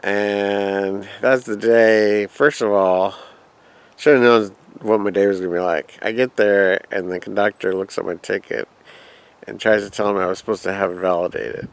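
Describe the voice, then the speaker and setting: A man's voice: fairly low pitch, fairly even intonation, normal speed, smooth. A man casually telling a rambling personal travel story, reminiscing and quoting his own past thoughts. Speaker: vlogger.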